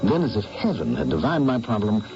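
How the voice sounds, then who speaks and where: A man's voice: lowish voice, wildly swooping pitch, a little fast, music in the background. An actor playing a male painter who narrates his own story in an old radio drama, earnest and persuasive. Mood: reflective. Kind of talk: 1970s radio drama acting. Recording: dull old radio broadcast, faint hiss.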